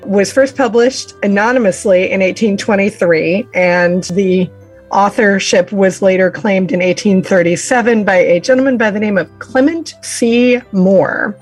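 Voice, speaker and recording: A woman podcast host presenting and reading holiday verse in an expressive storytelling style. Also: medium pitch. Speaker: teacher.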